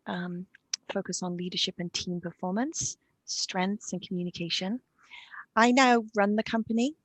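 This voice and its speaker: A woman's voice: lowish voice, no room sound, low-quality recording. A middle-aged woman hosting a webinar, explaining things in a businesslike, presentational way. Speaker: webinar host and coaching company founder. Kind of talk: webinar presentation, interview format.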